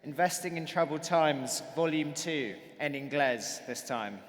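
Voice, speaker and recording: A man moderating a panel discussion, brisk and friendly. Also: fairly high pitch. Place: large conference hall stage. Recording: long hall reverb.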